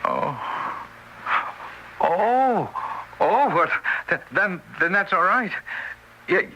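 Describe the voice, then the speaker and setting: Timid forgetful ghost, kinda slow and hesitant, really bright voice, old radio play w some hiss. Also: male voice, high-pitched, big pitch swings, muffled recording, full character voice. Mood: flustered, meek. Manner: hesitant, stammering, meek. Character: timid, forgetful male ghost on an errand to fetch souls. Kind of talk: 1970s radio play acting. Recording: old noisy radio recording.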